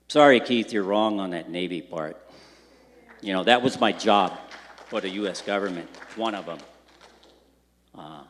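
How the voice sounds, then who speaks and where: Blunt older man, low voice in a hall. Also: fairly bright tone. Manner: blunt, rambling, bitter. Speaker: public commenter. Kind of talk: public meeting testimony. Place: public meeting hall. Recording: public hall with long reverb.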